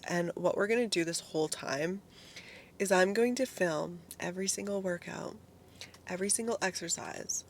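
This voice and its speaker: A young woman vlogging about fitness in a casual, chatty way, swearing freely, her voice hoarse because she is losing it. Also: on the low side, slight background noise. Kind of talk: casual solo talk to camera.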